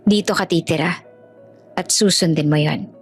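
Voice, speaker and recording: A woman's voice: deep voice, moderate pace, faint music behind. Woman doing a cold bossy rich guy in a love story, blunt and jealous, very controlled. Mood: jealous, stern.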